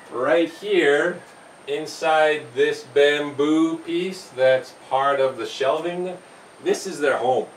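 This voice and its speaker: A middle-aged man hosting a home cooking channel, talking to the camera in a slow, gentle way with fillers and restarts, in a small brick-walled kitchen. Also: mid-range voice. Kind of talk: casual talk to camera. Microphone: camera mic from across the room. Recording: roomy, distant camera sound with faint hiss.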